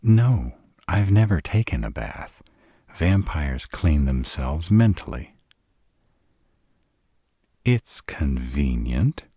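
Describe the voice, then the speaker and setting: A man's voice: very deep voice, very animated, slow pace, pauses often, mellow, breathy edge, no echo, clean studio sound, full character voice. A man playing a sarcastic, irritable vampire in an intimate roleplay audio drama, grumbling and dramatic in his delivery. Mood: dry, sarcastic. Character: sarcastic irritable male vampire, stranded in a human's home.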